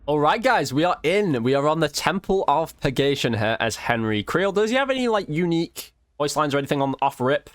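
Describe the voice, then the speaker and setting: A man's voice: on the high side, very animated, fairly quick, few pauses, a bit bright, dry recording, clean studio sound. Casual man doing gameplay commentary. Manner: informal, slangy, thinking aloud. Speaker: gaming video creator.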